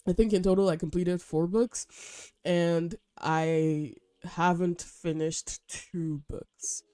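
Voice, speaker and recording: A young woman hosting a casual book review vlog, chatty and animated with slang, sounding a little nasal from a cold. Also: fairly low pitch, takes it slowly, clear, resonant tone, dry recording. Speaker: host.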